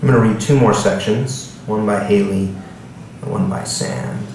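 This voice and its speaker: Very echoey distant recording, man with a lowish voice, live author reading, casual asides, noisy background. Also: fairly even intonation, normal speed, darkish tone. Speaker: author reading from own novel at a bookstore. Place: indoor bookstore reading before an audience. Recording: echoey room, distant mic, background noise.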